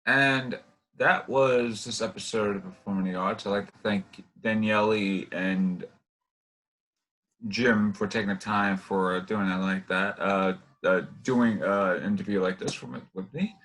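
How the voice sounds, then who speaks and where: Young male host, informal interview style, halting and repetitive delivery. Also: on the low side, fairly even intonation, fairly bright tone, low-quality recording. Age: young adult.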